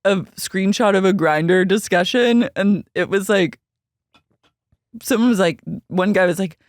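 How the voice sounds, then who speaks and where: A woman with a deep voice co-hosting a podcast, recapping gossip in a casual, deadpan, wry way. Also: unhurried, no room sound, clean studio sound. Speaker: podcast co-host. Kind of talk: casual chat.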